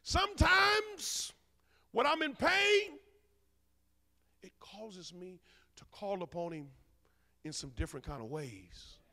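Man in his forties, pulpit preacher on a handheld mic, fairly high voice, booming then hushed, ribbing the pews, long church-hall echo. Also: takes it slowly, vocal fry, pauses often. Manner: animated preaching, bursts loud then drops soft, teasing the congregation. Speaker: minister. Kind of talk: sermon. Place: large church sanctuary.

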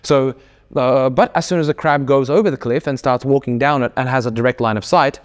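A man's voice: medium pitch, brisk. A man explains technical ideas at an easy, conversational pace. He stumbles through fillers and restarts as he works out his points. He sounds like he is talking across a desk in an office interview. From Australia. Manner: explains with lots of fillers, restarts and hand gestures. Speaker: game developer. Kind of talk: casual interview answers. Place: indoor office studio.

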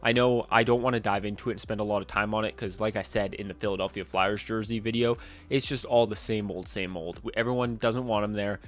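A man's voice: on the low side, a bit bright. A young man in his twenties, a bit stuffed up from a cold, chatting opinionatedly about hockey jerseys into a desk mic in a small room. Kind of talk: casual vlog commentary. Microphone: desk mic on a boom arm.

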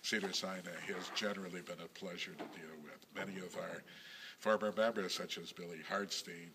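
Older man, synagogue president type; deep gravelly voice, measured and halting, faint hiss in the background. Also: steady tone. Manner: measured, halting, with many ums and self-corrections. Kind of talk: recorded interview reminiscence.

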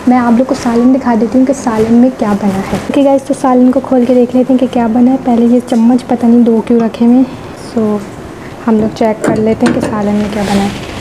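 Hoarse young woman chatting on a vlog. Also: fairly high pitch, little pitch movement, fairly quick, background noise. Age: young adult. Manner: rambling, giggly, talks to viewers directly. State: hoarse, sore throat. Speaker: vlogger. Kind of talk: casual chatty vlog narration. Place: rooftop outdoors, then home kitchen. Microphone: phone held at arm's length.

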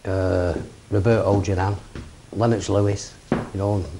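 Plain-spoken promoter, deep voice. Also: male voice, little pitch movement, measured pace, noisy background. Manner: plain-spoken, rambling lists. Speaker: boxing promoter. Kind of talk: seated interview. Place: office with framed memorabilia. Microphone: lapel.

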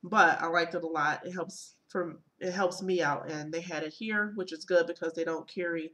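A woman in her thirties recapping an event in a relaxed, chatty vlog, with many ums and uhs, filmed at home on a webcam. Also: lowish voice, moderate pace. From Michigan. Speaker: vlogger. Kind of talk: casual product haul and event recap. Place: small home room, indoors. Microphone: webcam at desk.

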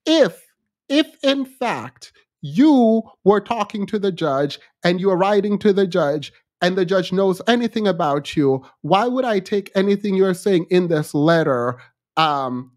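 A man in his thirties hosting a casual livestream commentary, speaking in an animated, sassy and colloquial way into a close desk mic. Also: high voice, measured pace. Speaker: livestream host. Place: small indoor home studio. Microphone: close desk microphone.

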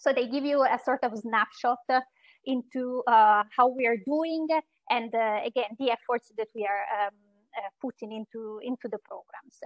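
A woman giving a webinar presentation over a video call, speaking in a hesitant, halting way as a university presenter. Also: on the high side, no room sound. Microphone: video call microphone. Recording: dull, lo-fi online call audio.

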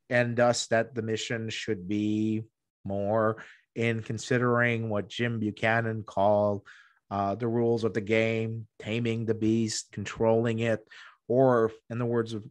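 A man's voice: fairly low pitch, no room sound. A man in his thirties arguing conversationally as a podcast guest, emphatic, often repeating words as he goes. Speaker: podcast guest, economic historian. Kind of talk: conversational interview argument. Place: small room at home, video call. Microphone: close desktop microphone.

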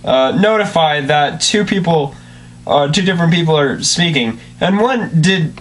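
A man's voice: fairly high pitch, lively intonation, normal speed, low-quality recording. An eighteen-year-old man chatting casually as a co-host, with sarcastic remarks. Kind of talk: casual banter. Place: small bedroom, indoors at night.

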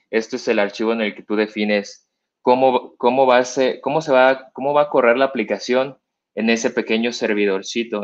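A young man with a Mexican accent giving a live coding demo at a meetup, explaining step by step with many fillers and restarts. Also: on the low side. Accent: Mexican Spanish. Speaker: meetup speaker. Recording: dull lo-fi stream audio.

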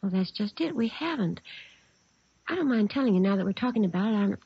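A woman's voice: medium pitch, lively intonation, a little fast, vocal fry, slightly rough, dry recording, heavily acted. An actress playing a worried, restrained American mother in her forties, polite and hesitant, in a 1950s radio drama style. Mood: uneasy. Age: 40s. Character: worried mother in her early forties, holding herself together. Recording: muffled vintage radio recording.

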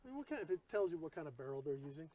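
A man's voice: fairly high pitch, big pitch swings, very fast, mellow. Easygoing man at an outdoor range. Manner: easygoing, explanatory. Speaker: host. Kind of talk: casual range chat.

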